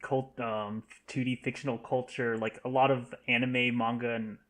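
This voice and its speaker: A man's voice: mid-range voice, flat delivery, moderate pace, fairly bright tone. A man in a casual panel chat, speaking hesitantly and searching for his words. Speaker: podcast panelist.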